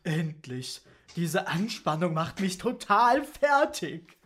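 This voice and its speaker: An actor as a whiny, slow, cowardly crook sidekick. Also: medium pitch, big pitch swings, fairly bright tone, exaggerated voice. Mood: defensive, nervous. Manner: whining, defensive. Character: whiny, cowardly bumbling animal-thief sidekick. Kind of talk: children's audio drama acting.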